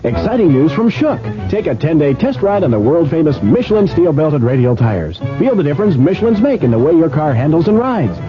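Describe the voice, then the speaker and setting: A male announcer makes an upbeat 1970s radio pitch. He talks fast with animated intonation. Music plays behind the dull recording. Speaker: commercial announcer. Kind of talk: 1970s local radio advertisement.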